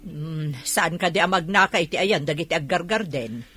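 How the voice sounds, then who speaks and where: With soft music behind, a practical adult villager in a radio drama. Her voice is rough and breathy. She questions and advises bluntly. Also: deep voice, normal speed, full character voice. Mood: questioning, practical.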